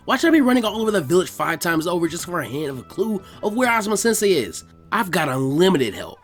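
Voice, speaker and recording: A man's voice: high voice, very animated, quick pace, soft background music. A man voicing a hyperactive, easily exasperated young boy ninja in an anime fan story, whining and exclaiming. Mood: restless, resigned.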